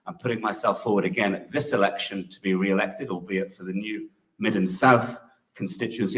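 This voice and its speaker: Low-voiced man in a measured political speech. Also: rough recording. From Pembrokeshire, Wales. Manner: measured, fluent, emphatic. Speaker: politician seeking re-election. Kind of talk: prepared political campaign speech. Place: live public hustings event.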